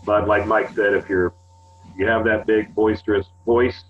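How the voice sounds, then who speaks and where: A low-voiced man explains procedures on a webinar in a rambling way, with lots of ums and restarts. He comes through a tinny, phone-like line. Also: level tone, moderate pace. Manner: rambling, filler-heavy explaining. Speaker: sports department staff. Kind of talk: webinar briefing.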